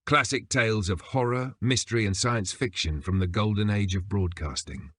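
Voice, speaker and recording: Husky low-voiced male announcer, dry sound. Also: moderate pace, a bit bright, dry recording. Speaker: podcast intro announcer. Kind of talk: scripted promotional narration.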